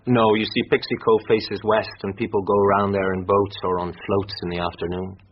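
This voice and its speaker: An actor with a British accent playing an earnest, defensive, well-spoken husband under questioning in a radio drama. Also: male voice, lowish voice, level tone, a little fast, natural voice. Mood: defensive, candid. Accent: British English. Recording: muffled radio broadcast, hissy with clicks.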